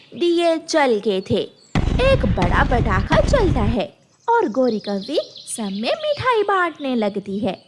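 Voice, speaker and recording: A woman narrates a children's cartoon tale in a high voice. Her delivery is slow, with lively rises and falls in pitch. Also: slight background noise. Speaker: storyteller.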